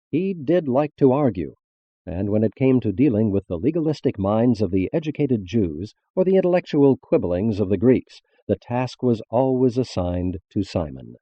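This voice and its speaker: A man narrates in a low voice that rises and falls expressively. He reads scripted religious prose in a formal, measured way, enunciating with care. The recording is close and dry, a little dull on top. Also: mellow, dry recording. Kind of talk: scripted audiobook-style narration of religious text.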